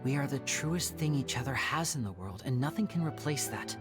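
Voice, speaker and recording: An actor playing a gentle, devoted young male stage magician in a video game, with polished, theatrical phrasing. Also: medium pitch, expressive, a little fast, close to an everyday voice. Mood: earnest, tender. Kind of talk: video game character dialogue. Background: faint game music.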